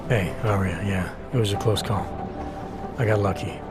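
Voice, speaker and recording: An actor playing a stoic, brooding augmented agent in a video game, gravelly, terse and deadpan. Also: male voice, lowish voice, normal speed, close to an everyday voice. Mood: dry, resolute. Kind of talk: video game cutscene acting. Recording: loud music behind the voice.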